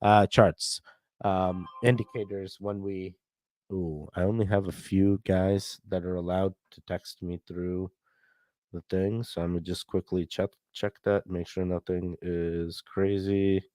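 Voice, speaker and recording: A man's voice: deep voice, level tone, unhurried, darkish tone, dry recording. A man hosting a casual livestream, chatting to viewers about price charts while congested from a cold. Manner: chatty, talks straight to viewers and chat. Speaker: crypto livestream host. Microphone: headphones with mic.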